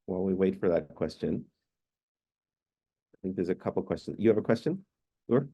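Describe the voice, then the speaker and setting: Low, dark-voiced man moderating a panel. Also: lively intonation, moderate pace, breathy edge, dull audio. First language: Hungarian. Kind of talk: online panel discussion. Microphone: video call.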